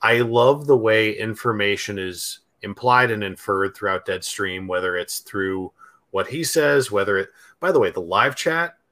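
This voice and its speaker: A man's voice: on the low side, unhurried, a bit bright. A man co-hosting a casual film chat, speaking hesitantly with many ums and restarts. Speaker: podcast and stream co-host.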